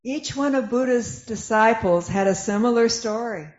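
Lady narrator reading a scripture play, slow, echoey muffled audio. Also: on the high side, a little mellow. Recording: echoey room, dull lo-fi sound.

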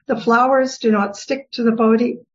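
A woman reading the part of a serene, wise goddess who gently teases, in a formal scripture reading with deliberate delivery. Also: fairly high pitch, fairly even intonation, close to an everyday voice. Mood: gently teasing. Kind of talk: dramatic reading of Buddhist scripture. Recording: dull, lo-fi recording.

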